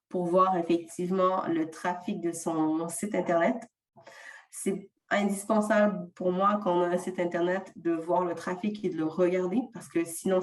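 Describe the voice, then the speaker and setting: A woman's voice: on the low side, darkish tone, far from the mic. A woman with a slight non-French francophone accent presents a workshop, explaining each point with frequent hesitations. Her voice sounds set back from the microphone in an echoing room.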